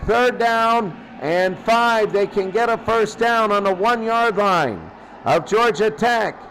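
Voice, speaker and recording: A man's voice: high voice, slow, a bit bright, a little hiss or hum, poor audio. A man calling live sports play-by-play as an announcer, speaking emphatically and repeating phrases for effect.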